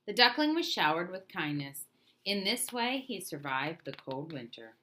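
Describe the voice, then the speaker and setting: A woman reading a children's storybook aloud in an expressive, emphatic way. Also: fairly low pitch, moderate pace. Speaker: storybook reader.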